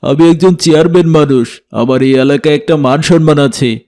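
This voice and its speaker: A man's voice: on the high side, normal speed, warm tone, natural voice. A man playing a proud village chairman in a cartoon, a stern father scolding with indignation. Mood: shocked, angry.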